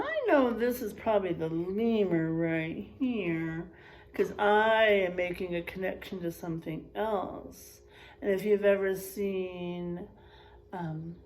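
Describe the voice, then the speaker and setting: A woman's voice: on the low side, a little mellow, slight background noise. A woman teacher reading a picture book aloud to children, expressively, stretching out rhymes and adding chatty, exclaiming asides.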